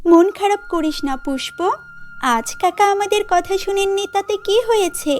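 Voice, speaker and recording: A woman's voice: extremely high pitch, moderate pace, darkish tone, faint music behind. A woman voicing a polite, earnest young social worker in a children's cartoon, with a principled manner. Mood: reassuring.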